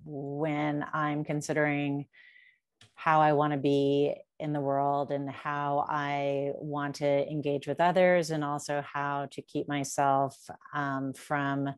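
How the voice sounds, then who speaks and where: A middle-aged woman hosting an interview over a video call, asking slow, thoughtful questions. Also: low-pitched, little pitch movement, clear, resonant tone, no echo. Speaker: interview host. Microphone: video call with earphone mic.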